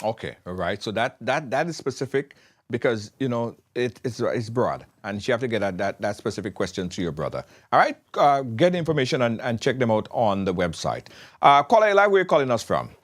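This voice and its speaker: A man hosting a live call-in show, directing callers and moving each call along briskly. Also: medium pitch, sing-song. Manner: brisk, directive, quick to move calls along. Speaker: radio show host taking calls.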